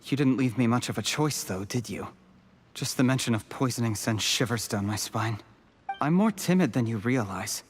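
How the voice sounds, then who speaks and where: A man's voice: medium pitch, expressive, normal speed, a little hiss or hum, close to an everyday voice. An actor playing a timid, ambitious young male schemer, nervous yet eager.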